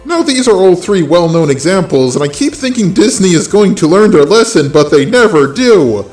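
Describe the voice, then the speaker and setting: A man's voice: sits high, big pitch swings, moderate pace, darkish tone, roomy sound. A man giving sarcastic, exasperated, opinionated commentary on films and TV in the manner of an online video host. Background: background music.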